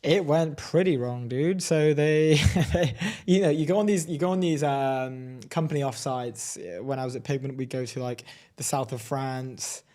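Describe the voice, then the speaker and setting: A man co-hosting a casual talk show, animated and laughing often, reading out quotes. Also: medium pitch, moderate pace, few pauses. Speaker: co-host. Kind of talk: casual chat.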